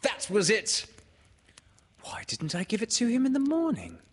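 A man plays an innocent small boy from a storybook, pitched well up. He is earnest and wistful, with a childlike, questioning delivery. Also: wildly swooping pitch, moderate pace, husky, heavily acted. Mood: wistful, anxious.

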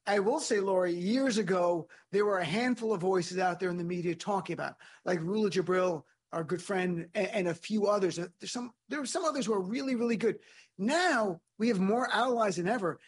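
A middle-aged man speaking as an interview guest, an animated and emphatic storyteller. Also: sits high, a little fast, slightly rough. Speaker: guest, comedian and lawyer. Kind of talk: panel interview.

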